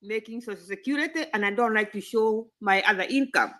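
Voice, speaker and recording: A woman's voice: medium pitch, brisk, heavy background noise. A retired woman from Kenya calling in to ask about a loan, with nervous laughs between her sentences. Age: older. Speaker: caller. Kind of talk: live call-in chat.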